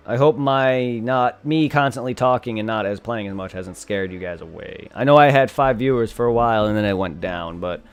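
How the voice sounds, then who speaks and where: A young man chatting casually on a live game stream, rambling and thanking his viewers. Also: medium pitch. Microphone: desk condenser microphone.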